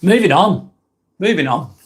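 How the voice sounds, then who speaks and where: A man's voice: on the high side, animated, unhurried, background noise. Lancashire man rambling on a live vlog. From northwest England. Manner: rambling and blunt, swears casually, frequent restarts. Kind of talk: casual live-stream talk.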